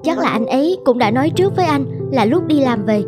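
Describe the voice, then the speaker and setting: A woman's voice: high-pitched, expressive, a little fast, music in the background. A woman voicing a dissatisfied, nagging young wife in a cartoon drama, with a reproachful way of talking. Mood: polite. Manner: complaining, reproachful.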